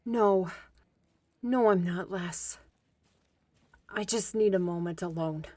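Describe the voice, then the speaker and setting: A woman's voice: on the low side, slow, dark tone, no room sound. Woman acting tired guarded female agent, dry wit, audio drama, very dry close recording. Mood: weary, brooding.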